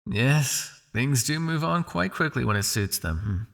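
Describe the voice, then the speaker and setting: Casting: male actor as smug vampire underworld lord, condescending and possessive, gravelly mid-pitched voice, intimate ASMR roleplay delivery. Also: animated, a little slow, faint music behind. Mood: condescending, teasing. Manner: taunting, silky, condescending with sighs and soft shushing.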